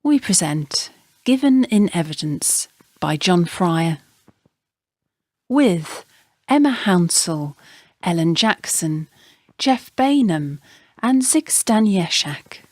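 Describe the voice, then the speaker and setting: A woman announces a radio play from a script. She speaks very slowly in a slightly low voice, recorded dry. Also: lively intonation, a little mellow, no room sound.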